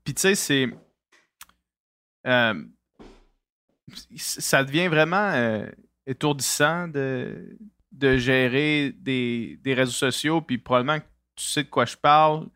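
A man's voice: medium pitch, slow pace, pauses often, bright, forceful tone, smooth, no room sound. A man with a Québécois accent hosting a podcast, asking questions in a hesitant way, with lots of fillers and restarts. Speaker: podcast host. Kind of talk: casual interview.

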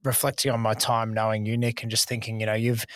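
A man's voice: fairly low pitch, flat delivery, fast talker, no echo, clean studio sound. A man co-hosting a casual podcast chat, speaking in a reflective, encouraging way. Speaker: podcast co-host.

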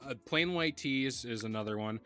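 A man's voice: medium pitch, moderate pace, fairly bright tone, soft background music. A man hosting a casual podcast, chatting in a laid-back, rambling way and reading sponsor plugs. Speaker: podcast host.

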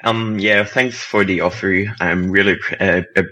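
A man from Germany with a German accent, a polite, halting podcast guest. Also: sits low, fairly even intonation, bright, forceful tone. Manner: halting, polite, many ums. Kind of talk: casual podcast chat.

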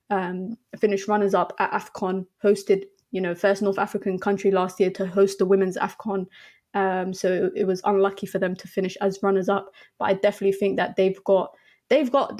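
A young woman talks through football picks in a casual podcast chat, her pitch staying fairly level and even. She pauses often with ums and restarts her sentences. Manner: chatty, many ums and restarts. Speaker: podcast guest.

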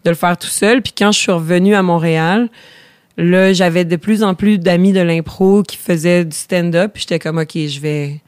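A woman's voice: on the low side, steady tone, normal speed, darkish tone, no room sound. Québécois woman in her thirties, casual podcast guest, self-deprecating, dry close sound. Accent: Québécois French. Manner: chatty, self-deprecating, many fillers and restarts. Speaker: guest, comedian.

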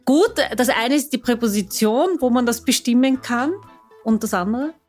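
A woman's voice: fairly high pitch, normal speed, darkish tone, faint music behind. A young woman, a podcast host and teacher, speaking in a playfully strict, teasing tone. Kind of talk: casual podcast conversation.

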